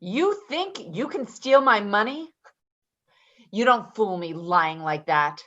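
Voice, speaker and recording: Actress as a sharp, volatile, menacing woman. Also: mid-range voice, expressive, slow, muffled recording. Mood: frantic, threatening. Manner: confrontational, demanding, swearing. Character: Patty, volatile, foul-mouthed, menacing woman demanding her money. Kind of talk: dramatic play reading.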